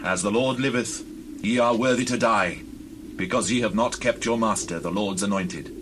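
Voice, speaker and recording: Outdoor noise and birdsong surround a low, solemn male voice. A man acts out a devout fugitive warrior of a Bible drama, humble yet bold, declaiming in formal archaic speech with earnest weight. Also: normal speed, lightly acted. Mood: reproachful, challenging. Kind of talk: dramatized scripture audio acting.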